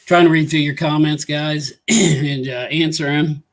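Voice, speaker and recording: A hoarse man with a Midwestern American accent, fighting a cold and clearing his throat as he hosts a casual live show, rambling with lots of fillers and reading viewer comments. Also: fairly high pitch, fairly even intonation, takes it slowly. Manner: rambling, many fillers like "you know" and "uh". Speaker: ghost hunting talk show host.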